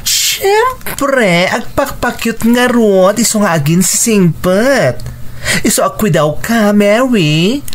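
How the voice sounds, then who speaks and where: A woman's voice: medium pitch, big pitch swings, slow pace, slight background noise. A woman playing a frank, gossipy young friend giving cautions in an Ilocano radio drama. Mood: gossipy, warning.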